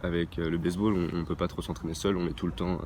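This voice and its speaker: A man's voice: sits low, little pitch movement, fast, darkish tone. A teenage boy who plays baseball, answering interview questions hesitantly with many fillers and restarts, outdoors at a training ground. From France. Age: teens. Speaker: young baseball player interviewed. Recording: outdoor traffic noise. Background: traffic and ambient noise.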